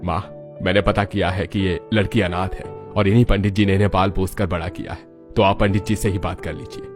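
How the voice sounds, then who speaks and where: A man's voice: sits low, steady tone, brisk, music in the background, lightly acted. A man playing a dutiful middle-aged rich father in a dramatized moral story, serious, resolute and respectful.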